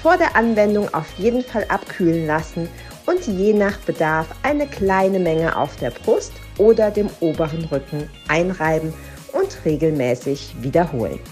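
A woman reading a do-it-yourself recipe from a script in a calm, measured, instructional way. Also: lowish voice. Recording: faint music underneath. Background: soft background music.

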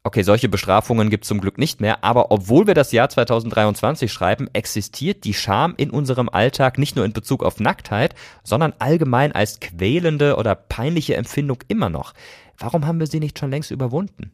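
Male podcast host, scripted narration and interview moderation; expressive melody, very little room sound. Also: medium pitch, brisk, no echo, clean studio sound.

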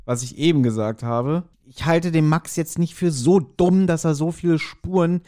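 A man's voice: on the high side, normal speed, mellow. A man co-hosting a podcast in casual chat, speculating and playfully nitpicking with a joking manner. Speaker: podcast co-host. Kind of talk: casual chat discussing an audio drama.

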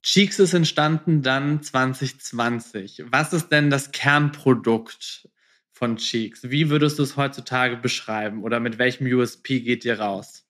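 A man hosts an interview podcast in a conversational style. His voice has a medium pitch for a man, and he talks informally as he leads into his questions. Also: fairly even intonation, unhurried, fairly bright tone.

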